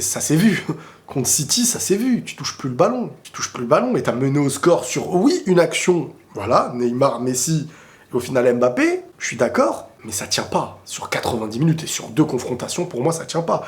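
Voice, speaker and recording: A man with a cold and a sore throat, talking casually and excitably about football to his viewers, often rambling into quick asides. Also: mid-range voice. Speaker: football video creator answering viewer questions. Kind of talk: casual opinionated football talk to camera.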